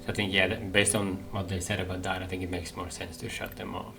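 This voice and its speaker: Male gaming host, low pitch, casual commentary, hesitant and musing, game music behind him. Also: flat delivery, fast, vocal fry. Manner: hesitant, musing, thinking aloud.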